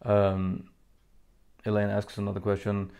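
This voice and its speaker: A man from the Faroe Islands, a native Faroese speaker, answering fan questions in a casual monologue, halting with many fillers and restarts. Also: on the low side, monotone, gravelly, warm tone, smooth.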